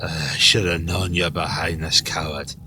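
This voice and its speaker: A man's voice: a bass voice, fairly even intonation, moderate pace, music in the background. A man playing a proud ancient earth titan in a fan audio drama, scornful and defiant toward his captor. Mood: contemptuous.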